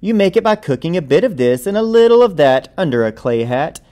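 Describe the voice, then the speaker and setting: A man's voice: fairly high pitch, brisk. Man reading a picture book aloud expressively. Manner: expressive, playful, acting out the story. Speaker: read-aloud storyteller.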